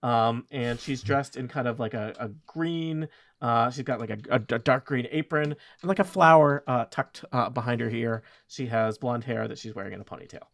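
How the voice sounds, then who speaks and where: A man's voice: mid-range voice, moderate pace, creaky, a bit bright, no echo. A man narrating as game master of a tabletop game, rambling with many ums and self-corrections.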